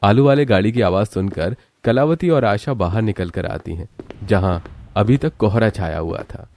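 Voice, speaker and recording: A man's voice: fairly low pitch, normal speed, darkish tone, faint music behind. A man narrating a scripted cartoon story as its storyteller.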